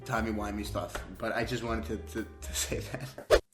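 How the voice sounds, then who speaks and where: A man's voice: fairly low pitch, flat delivery, normal speed, loud music behind the voice. A young man in his twenties from Denmark, speaking English with a Danish accent, chatting loosely and rambling in a casual reaction vlog from a small room. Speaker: YouTuber. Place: small indoor room at a desk. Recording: echoey room.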